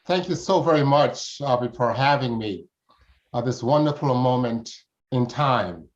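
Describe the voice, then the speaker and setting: A man's voice: medium pitch, animated, unhurried. Older man on dull, lo-fi audio. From Jamaica. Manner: formal, flowery phrasing. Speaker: interview guest. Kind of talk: conversational interview answers.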